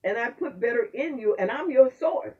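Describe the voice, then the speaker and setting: A man's voice: very high-pitched, animated, normal speed, dark tone, recorded from a distance, muffled recording. An older man hosting a casual faith conversation, affirming and preacherly.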